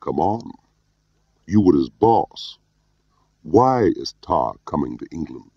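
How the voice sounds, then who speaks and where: A man's voice: deep voice, sing-song, slow, dark tone, no room sound, exaggerated voice. Man voicing a pompous Scottish spy chief, dull recording. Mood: probing. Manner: pert, pompous, formal. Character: growling, accusing, pompous Scottish intelligence chief.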